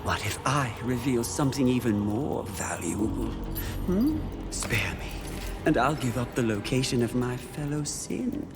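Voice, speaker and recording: A man's voice: medium pitch, lively intonation, measured pace. A man playing a seductive, mocking demon of lust in a video game, theatrical, coaxing and taunting. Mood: sly, knowing. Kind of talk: dramatic video game acting. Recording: music under the voice.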